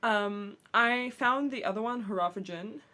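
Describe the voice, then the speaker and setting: A woman's voice: medium pitch, a little slow, sharp tone. A woman vlogger talking casually to camera about books, a bit phlegmy from a cold, candid and chatty with restarts. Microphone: camera mic, reading with books on her lap.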